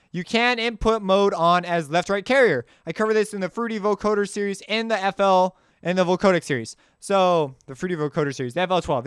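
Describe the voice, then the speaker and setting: A man hosting a casual music software tutorial, talking in an informal, rambling way and often correcting himself as he goes. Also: on the high side, smooth, no echo, clean studio sound.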